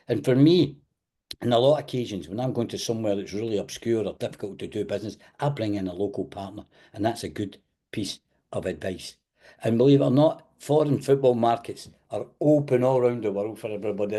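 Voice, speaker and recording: Scottish man giving an animated webinar. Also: mid-range voice. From Scotland. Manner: animated, emphatic, direct advice with Scottish words like "wee". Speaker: webinar host and football agent coach. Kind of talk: online webinar teaching. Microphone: video call.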